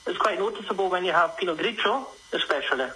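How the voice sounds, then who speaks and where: A man's voice: high voice, quick pace, pushed and bright, poor audio. A man from Germany with a Scottish family, explaining casually as a guest expert calling in to a radio show. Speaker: radio guest, freelance wine consultant and sommelier. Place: remote call to a radio show. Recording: phone-in call with faint noise.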